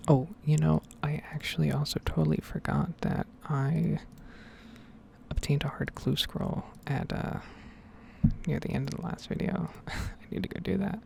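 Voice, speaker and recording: A woman giving whispered and soft-spoken ASMR game commentary in a breathy, rambling, unhurried way. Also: low voice, slight background noise. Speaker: gaming ASMR video creator.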